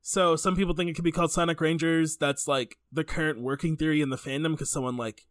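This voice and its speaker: High-voiced man chatting casually. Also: steady tone, fast, a bit bright, no echo, studio quality. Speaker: podcast co-host. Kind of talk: casual banter about video games.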